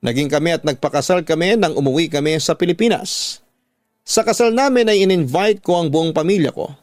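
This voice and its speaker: A man's voice: fairly high pitch, no echo, studio quality. A man with a Filipino accent reading a scripted, dramatic first-person life story as a radio storytelling host.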